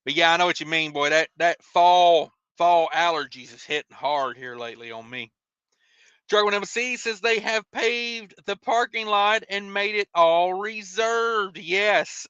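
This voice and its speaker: High, bright-voiced folksy American man. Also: clean tone, no echo, lo-fi sound. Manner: folksy, rambling, colloquial speech. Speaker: livestream host, truck driver. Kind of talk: casual live chat, reading out viewer comments and replying.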